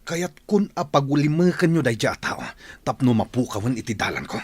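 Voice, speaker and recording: An actor with an Ilocano accent playing a bossy, scheming rich man who is quick to anger. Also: mid-range voice, sing-song, slightly rough. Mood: scheming, angry. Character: scheming rich middle-aged male boss. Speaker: radio drama actor.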